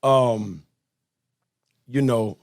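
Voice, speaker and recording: Casual male interviewer, mid-pitched voice. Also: extremely slow, vocal fry. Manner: informal, colloquial, repeats himself. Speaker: podcast host, interviewer.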